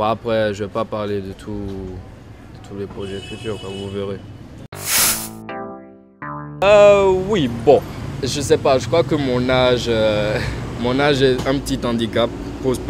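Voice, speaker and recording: Young Guinean man, halting, traffic behind. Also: medium pitch. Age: young adult. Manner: hesitant, many restarts and fillers, trailing off softly. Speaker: painter interviewed. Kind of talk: casual interview answers. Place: outdoors in front of a painted backdrop, street traffic nearby. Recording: outdoor street noise behind voice.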